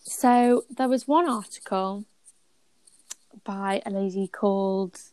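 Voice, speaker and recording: A woman's voice: mid-range voice, slow, warm tone, dry recording, rough recording. A young woman co-hosting a radio show, making dry, wry asides. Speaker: radio co-host. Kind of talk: casual chat.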